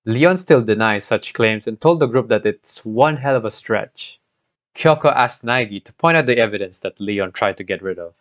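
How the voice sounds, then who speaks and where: Low-pitched young man narrating, dull mic. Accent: Filipino. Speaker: video narrator recounting a game playthrough. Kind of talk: scripted narration with casual asides. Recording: dull scuffed mic, music behind.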